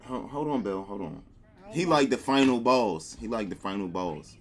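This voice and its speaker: A man's voice: fairly low pitch, lively intonation, normal speed. A man hosting a reaction video at home, chatting casually with playful slang.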